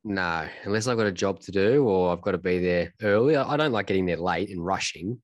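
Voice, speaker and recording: A man's voice: sits low, brisk, no echo, lo-fi sound. A man with an Australian accent and a nasal, congested voice, chatting easily as a podcast guest. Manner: relaxed, easygoing, repeats himself. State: congested from a cold. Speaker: racing driver and podcast guest. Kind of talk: casual chat.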